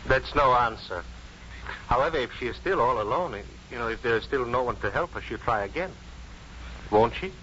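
A dulled vintage radio recording with a forceful, lively male voice. an earnest professor anxious for his friend. His delivery is emphatic and insistent, in period radio acting style. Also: mid-range voice, moderate pace. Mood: exasperated, questioning. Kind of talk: 1950s radio drama acting. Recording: muffled, faintly noisy 1950s radio broadcast.

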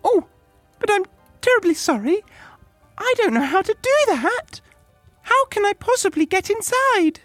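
A man's voice: very high-pitched, very animated, unhurried, long pauses, fairly bright tone, dry recording, soft background music, voice pushed up, heavily acted. A man voicing an innocent, pleading little girl with a posh English accent in a storybook tale. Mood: feigned innocence.

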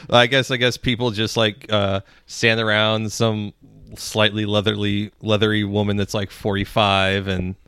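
A man's voice: lowish voice, level tone, unhurried, bright, forceful tone, clear, resonant tone, no echo, clean studio sound. A man co-hosting a podcast, chatting casually in rambling, joking riffs. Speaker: podcast co-host.

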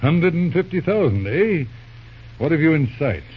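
An actor playing a shrewd, concerned older uncle, hemming and deliberate, in an old-time radio drama. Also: male voice, a little high, big pitch swings, slightly rough. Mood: probing, serious. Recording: muffled old radio recording.

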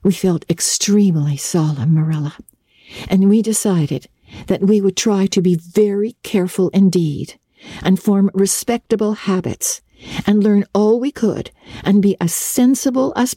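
A woman's voice: medium pitch, dark tone, husky, no echo, clean studio sound. A woman voicing an earnest, talkative thirteen-year-old girl in a dramatic storybook reading, with breathless, solemnly emphatic delivery.

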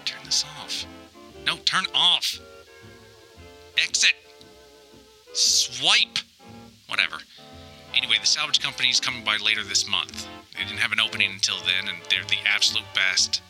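Brisk, offhand man with bright voice. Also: fairly low pitch, soft background music, low-quality recording. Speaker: writer and narrator. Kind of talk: naturalistic voice-memo monologue.

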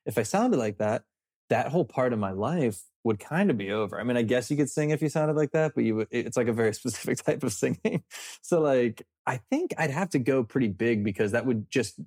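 A man appearing as a guest comedian, talking things through in an easygoing, thoughtful way with quiet amusement. His voice sits at an ordinary, mid-range pitch. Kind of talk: casual comedic chat.

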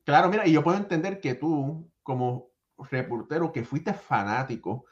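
A man co-hosting a sports show in casual back-and-forth baseball discussion, on a muffled, low-fidelity recording. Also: moderate pace. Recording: dull, lo-fi sound.

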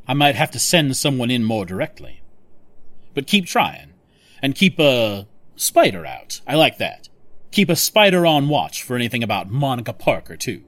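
An actor playing a smug, scheming tech boss in an audio drama, talking with condescending, self-satisfied control. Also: male voice, mid-range voice, very animated, moderate pace, fairly bright tone, no room sound. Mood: controlling, probing.

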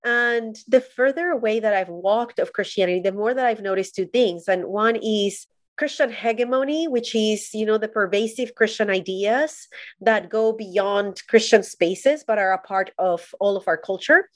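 A woman's voice: mid-range voice, normal speed, dry recording. A woman from Colombia speaks as a podcast guest. She tells her story in an earnest, reflective way, and her voice comes through dry and close. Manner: earnest, thoughtful.

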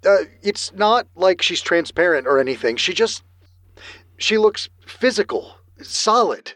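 Nervous male radio caller, fairly high voice, dry close sound, hesitant, self-conscious, audio drama. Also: big pitch swings, measured pace, a bit bright, no room sound, full character voice. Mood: uneasy, earnest. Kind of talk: naturalistic audio drama dialogue.

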